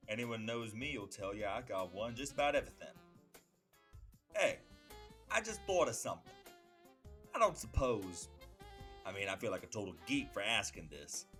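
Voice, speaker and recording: A man plays a cocky comic book hitman with a soft heart. He is chatty, slangy and opinionated, his pitch jumping around over music. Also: sing-song, normal speed, pauses often. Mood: eager, sheepish. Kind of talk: dramatic comic book dub.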